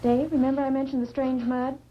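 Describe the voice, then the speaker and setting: A woman's voice: high voice, level tone, fairly quick, close to an everyday voice. An actress playing a businesslike female photographer on a research team, in 1950s science fiction film style. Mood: intent. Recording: dull, noisy old film soundtrack.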